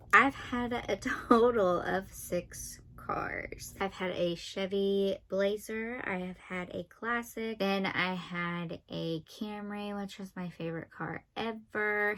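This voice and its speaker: A woman in her twenties with a nasal, monotone voice, chatting fast and dryly as a vlogger counting off facts about herself. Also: on the low side. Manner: talks fast and a lot, dry and sarcastic. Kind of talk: casual talking-to-camera list video.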